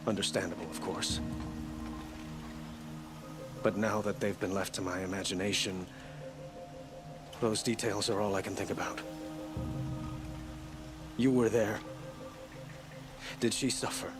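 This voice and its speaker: Casting: male actor as solemn monarch in mourning, deep voice, courteous and formal, video game drama with music. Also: fairly even intonation, close to an everyday voice. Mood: grave, grieving. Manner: formal, measured. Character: solemn, courteous, grieving king. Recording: music behind the dialogue.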